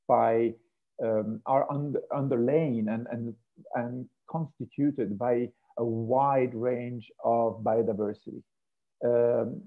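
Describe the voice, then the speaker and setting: A middle-aged man from Rome with an Italian accent, giving an online biology lecture from home, hesitant, with many fillers and restarts. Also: medium pitch. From Italy, Rome. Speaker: marine biology professor. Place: home room with a piano. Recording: gravelly, tinny video call audio.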